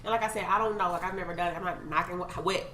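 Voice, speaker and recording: A woman's voice: on the low side, fairly even intonation, rapid-fire, bright, forceful tone. A young adult woman hosting a podcast, speaking as a candid, chatty podcast host who swears freely. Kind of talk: casual chat. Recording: echoey room, faint ambient noise.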